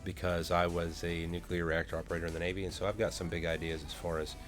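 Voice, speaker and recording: A man's voice: low-pitched, little pitch movement, fast, soft background music. A man, casually talking through a crypto project on a screen share with many ums and uhs. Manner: rambling, many fillers. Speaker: crypto vlogger.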